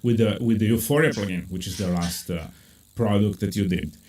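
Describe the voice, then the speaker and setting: Italian-accented male host, live-stream chat, animated and chatty, filler-heavy. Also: fairly quick, a little hiss or hum. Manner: animated, many fillers, pitch jumps when excited. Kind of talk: casual video-call chat. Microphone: video call.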